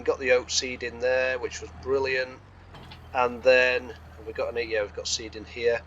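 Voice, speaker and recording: A man with a croaky, slightly hoarse voice gives casual, rambling gameplay commentary, thinking aloud as he hosts. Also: mid-range voice, fairly bright tone, dull audio, a little hiss or hum. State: slightly hoarse from being unwell. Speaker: host of a gaming series.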